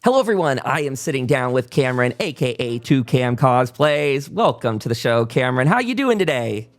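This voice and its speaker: A man's voice: mid-range voice, sing-song, fairly quick. A man hosting a podcast in a chatty, informal way, moving between announcements and casual interview talk. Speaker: podcast host.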